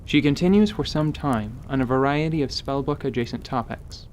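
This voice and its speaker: A man's voice: mid-range voice, moderate pace, darkish tone. Narrator, man, middle register, scripted storytelling for a fantasy radio play, faint background noise.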